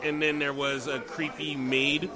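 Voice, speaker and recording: Male podcast talker, slightly high voice, casual explainer, clearly audible crowd. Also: little pitch movement, unhurried. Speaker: podcast co-host. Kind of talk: casual chat, describing video games. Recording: busy crowd noise around the voice.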